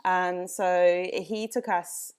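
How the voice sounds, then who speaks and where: South African woman, dog breeder guest, lowish pitch, loose chatty delivery. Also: steady tone, very slow, darkish tone, clean tone. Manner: chatty, frequent ums, laughs easily. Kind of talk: casual podcast chat.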